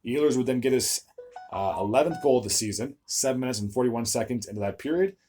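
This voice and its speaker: A young man hosting a solo hockey recap video, his voice a bit raspy from recording several videos in a row, stumbling over player names and filling gaps with "uh". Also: medium pitch, fast, warm tone, faint music behind. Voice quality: slightly raspy, tired voice.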